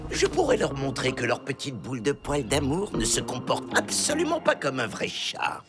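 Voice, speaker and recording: A man's voice: medium pitch, wildly swooping pitch, moderate pace. A man voicing a sly, smug, blackmailing cartoon mouse with a French accent and a pompous, insinuating delivery. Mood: sly threatening. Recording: loud cartoon music behind.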